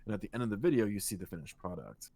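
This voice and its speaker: A man co-hosting a casual podcast, talking in a relaxed, rambling way with a soothing voice and casual swearing. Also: lowish voice, racing, gravelly, slightly rough, no echo. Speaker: podcast co-host and streamer. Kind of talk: casual chat.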